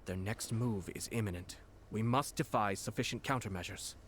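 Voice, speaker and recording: Actor as a low-voiced, composed young nobleman. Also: slight background noise, close to an everyday voice. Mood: composed, resolute. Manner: measured, formal, unhurried. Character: composed, refined young nobleman and clan commissioner. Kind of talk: anime-style video game voice acting.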